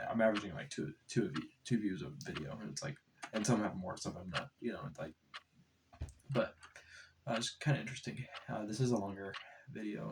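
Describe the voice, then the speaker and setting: This is a young man with a stuffed-up nose, talking casually and rambling through restarts and "you know"s. His voice sits low and gravelly. The mic is some distance away and there is clear noise behind him. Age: young adult. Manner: rambling, many restarts and fillers. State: stuffed up with a cold. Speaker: vlogger. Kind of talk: casual vlog.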